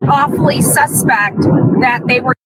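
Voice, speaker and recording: Woman, highish voice, slow measured delivery, hedging, noisy background. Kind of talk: live news update.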